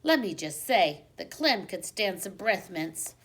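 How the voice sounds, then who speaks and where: A woman reading a children's book aloud like a teacher, with expressive storybook narration and dramatic emphasis. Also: mid-range voice, measured pace, slightly rough. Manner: dramatic emphasis on exciting moments.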